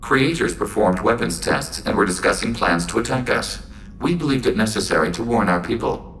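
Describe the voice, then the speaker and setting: An actor voicing a calm, logical synthetic soldier, speaking in flat, precise, formal sentences. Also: male voice, lowish voice, fairly quick, slight background noise, rough recording. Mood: earnest. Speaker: squadmate. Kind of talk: video game voice acting. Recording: game audio with music behind.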